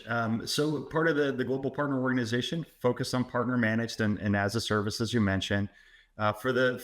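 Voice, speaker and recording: A man's voice: mid-range voice, a bit bright. A man speaking as a podcast guest, explaining his work in a businesslike way with small restarts. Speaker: podcast guest, company sales director.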